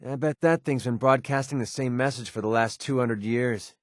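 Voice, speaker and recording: A man's voice: medium pitch, moderate pace, no echo, studio quality, lightly acted. An actor playing a wry, jaded young male mercenary in a video game, with a casual, sarcastic, grumbling manner. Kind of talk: video game companion dialogue.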